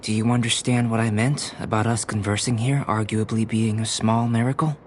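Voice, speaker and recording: Calm, cerebral young alchemist played by a man. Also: fairly low pitch, a little hiss or hum, close to an everyday voice. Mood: reflective. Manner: measured, formal, lecturing. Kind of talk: video game character acting.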